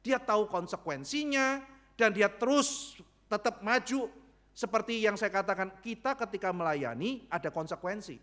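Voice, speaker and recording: Pastor preaching in church, fairly high voice, acts out the characters, keeps asking the crowd questions. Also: male voice. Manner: animated, acts out biblical characters in quick dialogue; asks the congregation rhetorical questions. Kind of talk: sermon.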